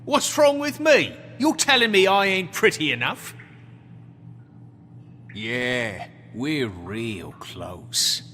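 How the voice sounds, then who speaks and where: An actor playing a cocky, evasive street thug in a video game, flippant, slangy and defensive under questioning. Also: male voice, fairly high pitch, big pitch swings, slow, bright, forceful tone, in a large hall, soft background music, heavily acted. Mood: evasive, cheeky.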